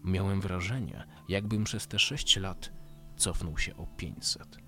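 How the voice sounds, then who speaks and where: A man playing a weary, embittered survivor in a dystopian radio drama, narrating in a measured, brooding literary way. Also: low-pitched, a bit bright, heavily acted. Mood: brooding, sombre. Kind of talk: scripted first-person radio drama monologue.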